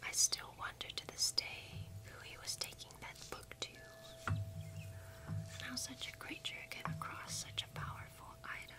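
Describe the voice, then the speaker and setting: A young woman voicing a kind, curious forest witch in breathy, whispered ASMR fantasy roleplay, telling stories gently and without hurry, close to the microphone. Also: lightly acted. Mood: secretive, storytelling. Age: young adult. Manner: gentle, unhurried, theatrical storytelling. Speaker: ASMR performer.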